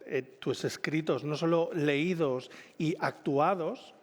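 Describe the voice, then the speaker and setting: A man's voice: fairly high pitch, slow pace. A man with a Spanish accent from Spain hosts an informal interview, rambling and correcting himself as he goes. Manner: rambling, enthusiastic, self-correcting. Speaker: event presenter and interviewer. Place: indoor launch event venue. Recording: reverberant event hall.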